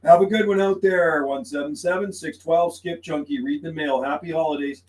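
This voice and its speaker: A man's voice: on the high side, steady tone, normal speed, a little mellow. A man chatting casually as a CB radio operator on a livestream, folksy and repetitive, using radio slang. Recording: roomy sound with faint background noise.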